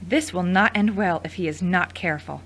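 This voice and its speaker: A woman's voice: on the low side, normal speed, a bit bright. A woman playing an irritated, defensive, jealous woman in an audio drama, indignant and sarcastic. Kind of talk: scripted audio drama acting.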